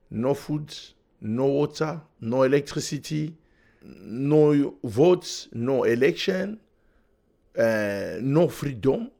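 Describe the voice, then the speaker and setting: A man, speaking as a podcast guest in halting, earnest English. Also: mid-range voice, gravelly, a little mellow. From Democratic Republic of Congo. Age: 40s. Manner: halting non-native English in short earnest phrases. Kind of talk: conversational interview, personal testimony.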